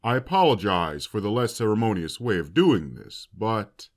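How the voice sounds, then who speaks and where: A man's voice: fairly low pitch, big pitch swings, normal speed. A man playing a stern but caring academy headmaster giving a formal, solemn speech in an audio drama. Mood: formal, welcoming. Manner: solemn, oratorical.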